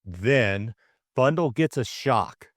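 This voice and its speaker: A man's voice: mid-range voice, animated, slow pace, dry recording, studio quality. A man reading a scripted story recap and novel as an audiobook co-narrator, in dramatic style.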